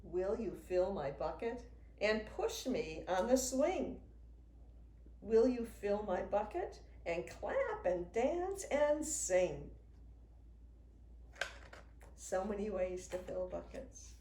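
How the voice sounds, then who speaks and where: Older woman reading slowly, very lively pitch. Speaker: children's book author reading aloud. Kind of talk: read-aloud picture book storytelling for young children. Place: indoors in a home room with a wooden desk. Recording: roomy sound with faint noise.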